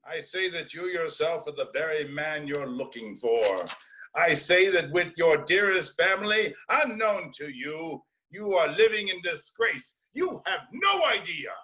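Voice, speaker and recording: A man's voice: fairly high pitch, animated, measured pace, bright, forceful tone, poor audio. A man playing a bitter, defiant old blind prophet in a Greek tragedy reading, with declamatory, ominous delivery. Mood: defiant, accusing.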